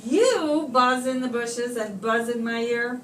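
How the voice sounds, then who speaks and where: Slow-paced woman reading aloud to children. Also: a little high. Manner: expressive, deliberate phrasing with pauses for young listeners. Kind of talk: children's picture book read-aloud. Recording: roomy, distant microphone, clear background noise.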